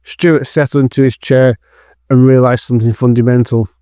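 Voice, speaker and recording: A man's voice: medium pitch, level tone, normal speed, warm tone, no echo, studio quality. A man reading scripted narration for an audio drama as its narrator.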